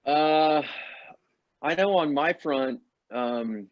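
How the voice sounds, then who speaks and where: Relaxed male co-host, mid-pitched, dull and dry audio. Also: very slow, no room sound. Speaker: radio co-host. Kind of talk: casual radio chat.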